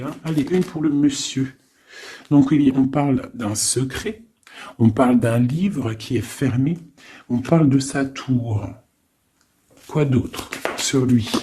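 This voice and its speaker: A man giving a casual, improvised tarot reading, thinking aloud in an informal, halting way with frequent little tag questions. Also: medium pitch, warm tone, a little hiss or hum. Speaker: tarot card reader.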